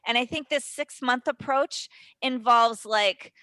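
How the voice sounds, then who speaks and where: A woman, a voice and dialect coach, explaining her method as a guest on a webinar, in animated, rapid talk with quick asides. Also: fairly high pitch, no room sound. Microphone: webinar call mic.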